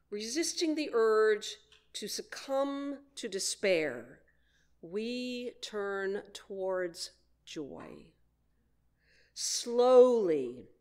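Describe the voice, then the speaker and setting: Slow-speaking woman preaching a sermon. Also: medium pitch. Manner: deliberate phrasing with frequent pauses. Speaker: minister delivering a message. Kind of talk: sermon with read quotations.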